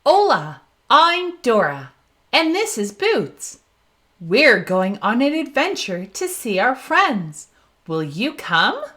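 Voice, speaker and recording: A woman's voice: a little high, very animated, slow, bright, forceful tone, exaggerated voice. A woman reading aloud as a cheerful young girl explorer in a children's storybook, asking listeners questions and praising them. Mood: cheerful, excited. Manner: asks the listener questions, then praises the answer.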